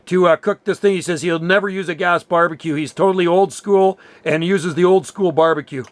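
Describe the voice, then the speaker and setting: A man's voice: high-pitched, fairly even intonation, fairly quick, heavy background noise. A man from Canada chatting enthusiastically and casually as an amateur radio operator.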